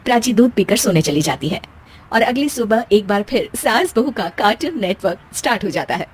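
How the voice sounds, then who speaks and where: A woman's voice: mid-range voice, expressive, brisk, slightly rough. A woman storyteller reading scripted narration for a family tale.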